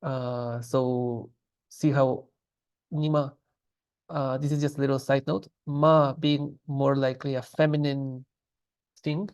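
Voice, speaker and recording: Gravelly-voiced man teaching a language class. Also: medium pitch, slow. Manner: patient, relaxed, with many fillers and restarts. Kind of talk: explaining grammar in a class.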